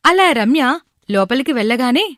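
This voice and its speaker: A woman's voice: fairly high pitch, expressive, moderate pace, no room sound, studio quality. A woman narrating a scripted moral story.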